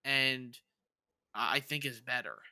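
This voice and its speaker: A man's voice: medium pitch, lively intonation, measured pace, bright, forceful tone, clear, resonant tone, no echo, studio quality. A man co-hosting a podcast in casual debate, hesitant and thinking aloud as he talks. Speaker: podcast co-host.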